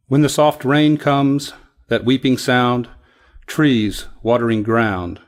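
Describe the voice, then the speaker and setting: A man reciting a poem with solemn, deliberate phrasing. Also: medium pitch. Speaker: poetry reader. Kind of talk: poetry recitation.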